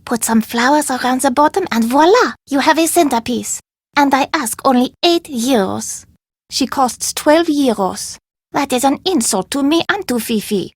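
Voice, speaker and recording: A proud woman selling curios at a market in a video game. Her voice is fairly high and recorded dry. She haggles stubbornly in formal, foreign-sounding phrases. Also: expressive, normal speed, no room sound. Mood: proud, insistent. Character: proud, stubborn female street vendor of curios. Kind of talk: video game character dialogue.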